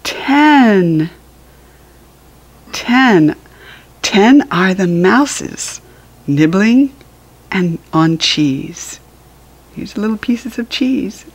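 An American woman reading a counting book aloud to young children as a teacher, in an encouraging, sing-song, deliberate way. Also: medium pitch, lively intonation, husky.

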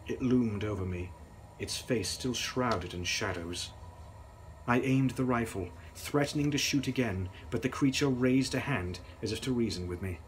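A young man narrates gothic fiction with grave theatricality. His delivery is dramatic and mid-pitched, with faint noise behind it. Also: normal speed, poor audio. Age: young adult. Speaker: author reading his novel. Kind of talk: dramatic gothic audiobook-style reading.

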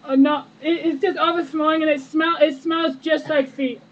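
A man's voice: very high-pitched, little pitch movement, moderate pace, a bit bright. A young man voicing a whiny, dramatic, indignant wife on a prank phone call. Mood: flustered, complaining. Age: young adult. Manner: whiny, dramatic, over-the-top. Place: indoor room with friends around. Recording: muffled, noisy webcam microphone.

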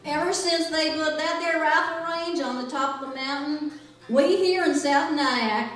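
A woman's voice: high voice, far from the mic, natural voice. A woman playing a cantankerous, folksy farm wife with rustic grammar in an old-time radio comedy skit. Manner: drawling rustic grammar, mock-earnest. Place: small library room, on stage. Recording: echoey room, picked up from afar.

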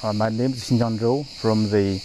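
A man's voice: fairly low pitch, steady tone, moderate pace. A man from China, an experimental physicist, introducing himself plainly outdoors. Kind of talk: interview. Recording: noisy background.

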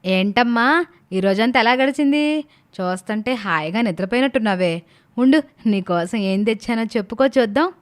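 A woman's voice: fairly high pitch, expressive, normal speed, close to an everyday voice. A woman playing a fond, teasing village mother who scolds affectionately in homely colloquial speech, in a story cartoon. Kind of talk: animated moral story dialogue.

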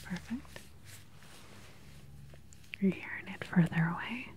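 A woman's voice: on the low side, animated. Whispering woman, ASMR doctor roleplay. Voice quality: soft breathy whisper. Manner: gentle, clinical, unhurried. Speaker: ASMR performer playing a doctor. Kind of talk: ASMR medical exam roleplay. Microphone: close ASMR microphone.

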